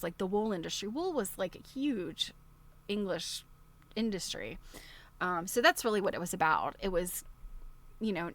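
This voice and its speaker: Female livestream host, medium pitch, informal and digressive, mixing book read-aloud with easily amused commentary. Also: a bit bright. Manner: chatty, digressive, often breaking into chuckles.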